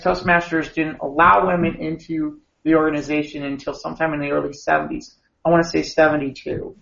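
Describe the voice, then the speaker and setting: A man co-hosting a podcast, a bit hoarse after a long weekend of talking, rambling and restarting his sentences. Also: fairly high pitch, fairly bright tone. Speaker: podcast co-host. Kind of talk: casual podcast chat. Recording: echoey room, dull lo-fi sound.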